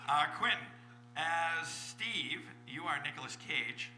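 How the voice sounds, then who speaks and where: Male moderator, fairly high voice, informal stage hosting, echoey live hall. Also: expressive, slow, loud music behind the voice. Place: comic convention stage.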